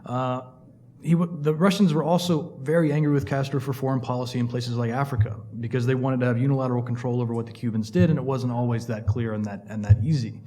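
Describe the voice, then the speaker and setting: A man speaks on a panel, explaining history at a brisk clip. His tone is mellow and warm, and fillers and restarts pepper his lecture. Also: medium pitch, fairly even intonation. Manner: fast, lecturing, filler-heavy. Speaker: panelist, Cuba expert. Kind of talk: panel discussion.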